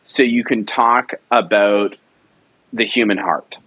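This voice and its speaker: A man's voice: fairly low pitch, steady tone, slow, pushed and bright, breathy edge, low-quality recording. Earnest man talking about story craft. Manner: earnest, emphatic, repeats words while thinking. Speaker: audio drama producer. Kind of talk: passionate conversational interview.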